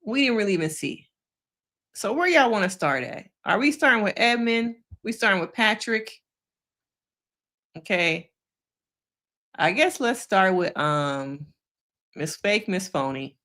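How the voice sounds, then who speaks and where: A woman's voice: mid-range voice, animated, unhurried, long pauses, bright, forceful tone. A woman therapist giving casual, blunt reaction commentary on a reality show, talking straight to her viewers with sassy asides.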